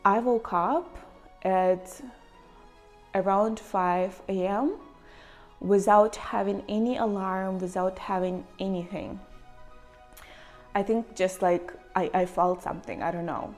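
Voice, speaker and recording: A young woman with a Ukrainian accent, telling a personal story to camera in a halting, emotional way, close to tears. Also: mid-range voice, steady tone, a little mellow, soft background music. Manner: emotional, halting, near tears, with many pauses and fillers. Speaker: vlogger.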